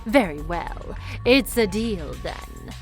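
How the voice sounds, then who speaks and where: A woman's voice: medium pitch, lively intonation, takes it slowly, soft background music. A woman playing a commanding, scheming villainess in an audio drama, imperious and theatrical. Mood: stern command. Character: commanding, scheming villainess running a deadly game.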